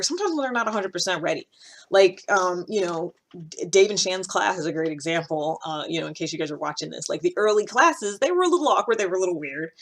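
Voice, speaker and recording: A woman's voice: on the low side, fairly bright tone. An American woman in her thirties giving informal, unscripted advice to camera, emphatically repeating key points for stress. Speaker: podcast host giving self-improvement advice. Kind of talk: casual, unscripted talk to camera.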